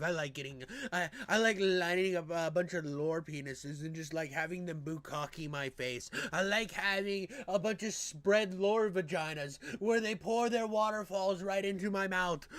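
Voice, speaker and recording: A man with a high-pitched nasal voice, reacting to a video in crude, excitable casual commentary, often stammering and restarting. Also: a little slow, few pauses, no echo. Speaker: reaction streamer. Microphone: on camera, desk mic.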